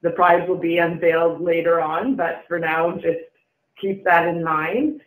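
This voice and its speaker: High-voiced man presenting in an echoey room. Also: steady tone, unhurried, a bit bright. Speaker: event organizer presenting an agenda. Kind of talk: informal conference presentation. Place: indoor meeting room, conference call. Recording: roomy, echoey conference call room.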